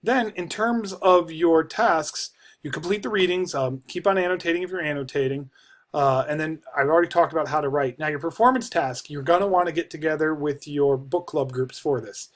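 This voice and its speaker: An American man in his forties with a slightly high voice talks as a university instructor. He gives an informal course overview, pausing to think as he explains. It is recorded on a computer microphone in a small office. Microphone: computer microphone at desk distance.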